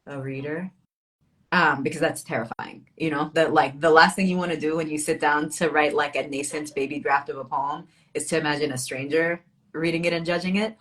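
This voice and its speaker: Low-voiced woman poet in casual conversation. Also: fairly even intonation, fairly quick, fairly bright tone. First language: Arabic and English. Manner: reflective, informal, wryly humorous. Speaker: guest poet and author.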